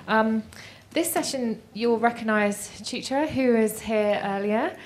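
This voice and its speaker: A woman's voice: a little high, takes it slowly. A woman hosting an event, introducing the next session with many fillers. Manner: warm, filler-laden introductions. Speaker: event host.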